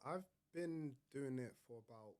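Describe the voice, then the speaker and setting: Slightly croaky man. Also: mid-range voice, a little mellow. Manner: measured explanations with fillers. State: a bit croaky from illness. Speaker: podcast guest, entrepreneur. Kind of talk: casual podcast interview.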